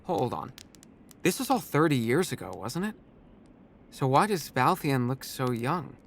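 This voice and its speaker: A man's voice: medium pitch, sing-song, a little slow, a bit bright, full character voice. A man playing a puzzled, inquisitive listener in a fantasy audio drama. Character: puzzled, inquisitive male listener questioning a story.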